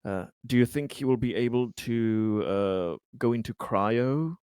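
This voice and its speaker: A man playing a tabletop roleplaying game, improvising conversation in character with a polite, formal and halting manner. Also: mid-range voice, a little mellow, smooth, dry recording, clean studio sound.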